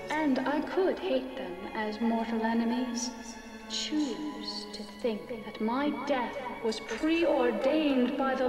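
An actress playing a gentle, solemn female ghost in a video game cutscene, speaking formally and pleadingly. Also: fairly high pitch. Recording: echoey hall, distant, music behind.

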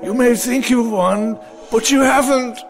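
A man's voice: extremely high pitch, measured pace, music in the background. A man playing a desperate, defiant protector in dramatic audio drama acting.

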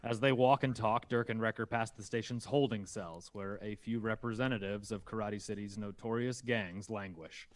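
A man with a fairly low voice narrates a screenplay. He reads scene headings and stage directions as scripted narration on a group video call. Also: steady tone, moderate pace, few pauses.

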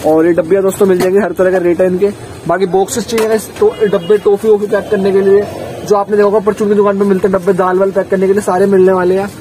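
A man's voice: sits high, little pitch movement, fast talker, dark tone, slight background noise, poor audio. A middle-aged man vlogging through a cramped market shop, eagerly showing products to his viewers. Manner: eager, repeats "ye dekhiye guys", upbeat. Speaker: vlogger touring a wholesale shop. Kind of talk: energetic product walkthrough.

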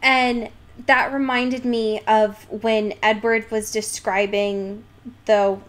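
A woman co-hosting a podcast, chatting casually about a book in an expressive, slangy way with exasperated humour. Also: a little high, slow. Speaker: podcast co-host. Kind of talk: casual book discussion chat.

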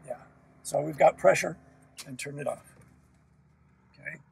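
Gravelly man explaining a cockpit checklist. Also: fairly high pitch, unhurried, recorded from a distance. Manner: relaxed, explanatory, talks while working controls. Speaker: aircraft sales demo pilot. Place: small aircraft cockpit with engine running.

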